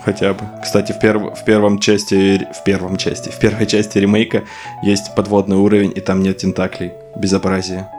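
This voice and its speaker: A man's voice: low voice, completely flat delivery, fairly quick, over music. A man co-hosting a casual game podcast, telling playful stories and laughing as he talks. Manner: playful storytelling, laughs while talking. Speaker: podcast co-host, anime expert. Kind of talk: casual chat about games.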